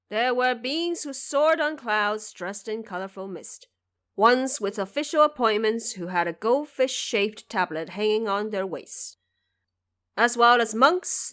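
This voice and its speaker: Expressive woman narrating an epic tale. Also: a little high. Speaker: narrator of an audio drama series. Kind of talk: scripted storytelling narration.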